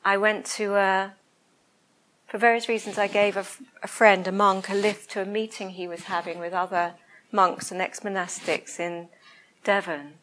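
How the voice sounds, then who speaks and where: Soft-voiced woman teaching meditation. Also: mid-range voice, flat delivery, poor audio. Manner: slow, hesitant, searching for words. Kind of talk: reflective dharma talk and guided meditation. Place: room with a listening group.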